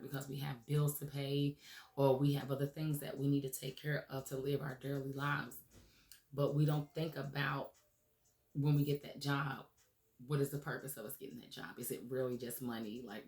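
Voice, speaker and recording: A woman hosting a motivational radio show, her voice raspy from recent laryngitis, talking earnestly and encouragingly with many "um"s and "okay?"s. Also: a bass voice, roomy sound. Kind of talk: motivational talk show monologue.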